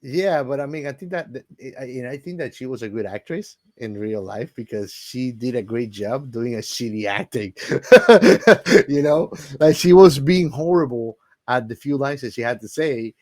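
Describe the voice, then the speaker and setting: A man's voice: mid-range voice, lively intonation, moderate pace, darkish tone, no room sound. A man co-hosting a podcast, chatting casually and bluntly about movies in slangy, informal language. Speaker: podcast co-host.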